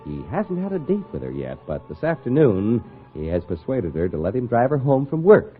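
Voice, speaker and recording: A man's voice: medium pitch, wildly swooping pitch, normal speed, soft background music. An American man announcing in scripted 1950s radio style, delivering adverts and story introductions. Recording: muffled old radio broadcast.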